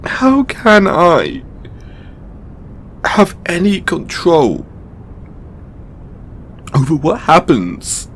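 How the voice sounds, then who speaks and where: A man acts out a kind god worn down by responsibility. He speaks slowly and haltingly, with earnest, pleading feeling in an intimate roleplay monologue. A faint rumbling noise sits behind the voice. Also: huge pitch swings, fairly bright tone, heavily acted. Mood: anguished, overwhelmed, then frustrated, pleading. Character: kind, overburdened male god, anguished and benevolent. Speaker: audio roleplay voice actor.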